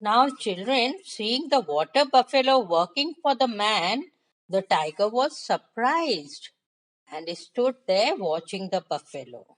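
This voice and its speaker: A woman with an Indian accent telling a story to young children, with deliberate phrasing and questions to the listeners. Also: mid-range voice, sing-song, low-quality recording.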